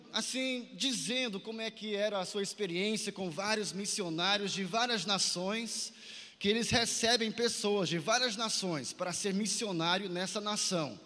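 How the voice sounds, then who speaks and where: A man's voice: sits high, pushed and bright. A Brazilian man preaching a sermon at a youth conference in an exhorting manner, in an echoey large hall. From Santarém, Pará, northern Brazil. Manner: exhorting, asks listeners to repeat phrases and respond.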